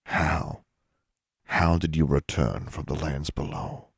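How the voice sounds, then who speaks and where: A man's voice: a bass voice, level tone, slow, gravelly, slightly rough, dry recording, studio quality, exaggerated voice. A man playing a solemn, stern wizard father in an audiobook, speaking in a grave and formal way. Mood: solemn urgency. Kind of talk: dramatic audiobook character acting.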